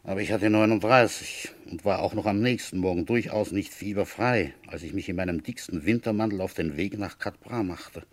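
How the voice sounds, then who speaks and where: An actor playing a methodical, dryly patient village police commissioner who thinks aloud conversationally in a German radio drama. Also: male voice, low-pitched, brisk, few pauses. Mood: matter-of-fact recounting. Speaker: narrator and lead detective in a radio play. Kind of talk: German radio drama, intimate first-person narration.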